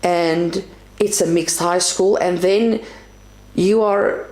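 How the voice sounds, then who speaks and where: A woman's voice: fairly low pitch, steady tone, slow, darkish tone. A woman from South Africa with an Afrikaans accent, reflecting as an interview guest, often restarting and adding "you know". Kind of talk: conversational interview. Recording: roomy echo.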